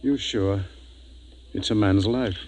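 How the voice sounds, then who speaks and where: An actor playing a concerned, sober expedition doctor with a measured manner in a 1950s science fiction radio drama. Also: male voice, on the low side, a little mellow, poor audio.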